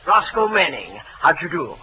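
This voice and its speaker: A man's voice: on the high side, big pitch swings, moderate pace, bright, forceful tone, heavily acted. A man playing a jovial, slick, pompous lawyer in 1940s radio style, with florid, hemming, old-fashioned speech. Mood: jovial, smooth. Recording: noisy vintage radio recording.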